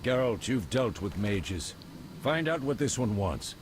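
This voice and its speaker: A man playing a commanding monster hunter in a video game, brisk and directive with his comrades. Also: fairly low pitch, sing-song, background noise. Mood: urgent, directive.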